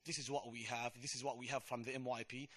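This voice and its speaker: A man's voice: medium pitch, flat delivery, quick pace, a bit bright. Guy giving a school update to parents, kinda hesitant, repeats himself a lot. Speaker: Arabic department presenter. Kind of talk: school information presentation.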